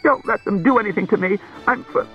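A man voicing a frightened, tearful wife, breathy and quavering, pleading in halting bursts as in an audiobook. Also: high voice, lo-fi sound, soft background music, pitched above the performer's own voice, exaggerated voice. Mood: desperate, pleading. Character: distraught tearful woman, frightened wife confessing.

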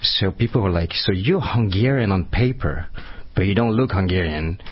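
A man in his forties who grew up in Madagascar with French as his first language, talking casually as a studio guest and often pausing to search for words. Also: low voice, expressive, husky, highs cut off. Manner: rambling, searching for words, many fillers. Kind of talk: casual chat.

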